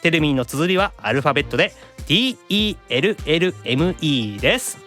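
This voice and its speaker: A man's voice: on the high side, expressive, a little fast, pushed and bright, faint music behind. A man hosting a radio show in Kansai-accented Japanese, moving between scripted reading and casual chat, with eager, agreeing responses. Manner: frequent eager backchannels and agreeing.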